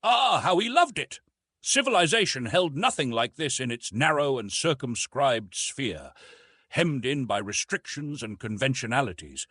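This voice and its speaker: A man narrates an adventure audiobook with wide, expressive swings of pitch. His voice is a little husky at a medium pitch, and the recording is close and dry. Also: unhurried, dry recording. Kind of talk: scripted literary narration.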